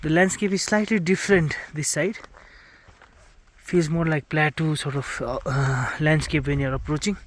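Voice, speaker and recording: A fairly high-pitched man narrates his travels to camera outdoors, casual and chatty as he points out the views. His first language is Nepali. Wind and passing vehicles are loud behind him on the camera mic. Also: steady tone, moderate pace. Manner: chatty tour guide, pointing things out. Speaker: travel vlogger. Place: outdoors on a mountain trip. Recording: noisy outdoor camera audio.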